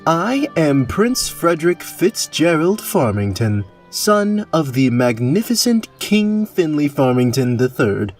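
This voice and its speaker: A man's voice: on the high side, sing-song, slow, few pauses, a little mellow, faint music behind. A man playing a proud, formal fantasy prince in an audio drama, speaking in courtly, self-assured phrases. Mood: proud, firm. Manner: formal, courtly phrasing without casual slang.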